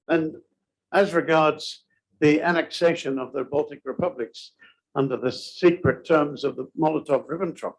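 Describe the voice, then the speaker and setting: A man from Australia giving a conference talk, pausing often with ums and uhs. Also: on the high side, a little mellow, low-quality recording. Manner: hesitant, many ums and uhs. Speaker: conference speaker.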